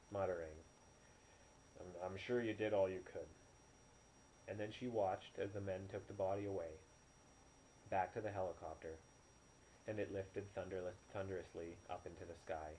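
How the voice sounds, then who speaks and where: A man reads fiction aloud like an amateur audiobook. His voice is lowish and notably dark and soft-edged. The home recording is poor, with faint noise. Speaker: amateur storyteller. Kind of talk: audiobook narration.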